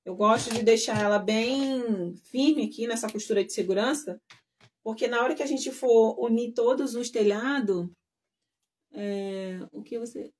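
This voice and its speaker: A woman's voice: on the high side, a little slow. A woman teaching a live sewing class, talking casually to her viewers while she works and trailing off between steps. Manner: chatty, addressing viewers as girls. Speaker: sewing instructor.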